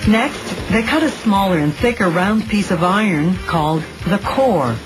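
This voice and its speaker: A woman narrates an explanatory documentary in a studio voice-over. Her pitch is average for a woman and her delivery is measured and instructional. Music plays clearly behind her. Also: lively intonation, poor audio. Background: background music.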